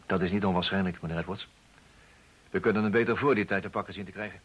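Radio play detective: lowish, rasping voice, dry and inquisitive, thinking as he talks. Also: steady tone, quick pace, lo-fi sound. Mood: musing. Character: dry, probing male detective questioning a client. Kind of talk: Dutch radio play acting.

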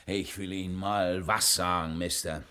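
An actor playing a gruff but hearty ship's boatswain with a North German accent in a radio crime play. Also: male voice, low-pitched, steady tone, a little slow, bright, forceful tone. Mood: gruff, irritated. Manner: blunt, hearty, colloquial. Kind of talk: 1960s German radio crime drama acting.

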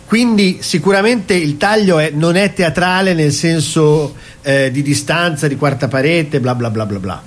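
Man, higher-than-average voice, talkative and explanatory, casual actor interview. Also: normal speed, few pauses. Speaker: cabaret actor being interviewed. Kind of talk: casual interview talk about his stage show.

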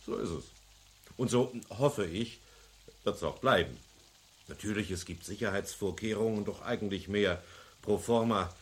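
An actor playing a genial but commanding veteran police chief in a German radio crime drama, measured and authoritative. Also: male voice, lowish voice, lightly acted. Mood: businesslike.

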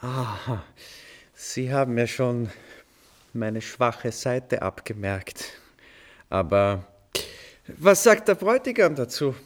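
An actor in a German radio play playing a witty, genial composer with a playful, urbane, theatrical manner. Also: male voice, medium pitch, lively intonation, takes it slowly, long pauses. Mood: teasing.

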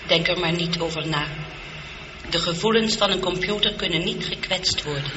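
An actress playing a calm, logical, seductive spaceship computer in a radio drama, speaking in a measured, precise and unemotional way. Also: female voice, on the low side, close to an everyday voice. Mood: gentle, composed. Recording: muffled, echoey, noisy old radio recording.

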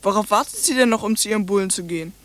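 A woman's voice: mid-range voice, racing, close to an everyday voice. A woman playing a cheeky, foul-mouthed little girl in a radio play, brash and insolent. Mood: insolent, annoyed.

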